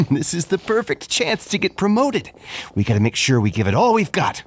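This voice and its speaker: A man's voice: fairly high pitch, very animated, moderate pace. An actor playing a jokey, ambitious male city guard in a video game. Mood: eager.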